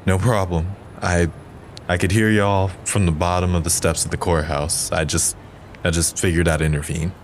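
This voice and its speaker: An actor playing an earnest, calm man urging unity, speaking in a measured, sincere way in an audio drama. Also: deep voice, slight background noise. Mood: gentle, sympathetic.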